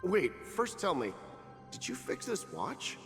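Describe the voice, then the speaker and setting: A man's voice: high voice, huge pitch swings, unhurried, full character voice. A man playing a commanding yet encouraging middle-aged train navigator in a game. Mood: questioning. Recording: game audio, long reverb, loud music.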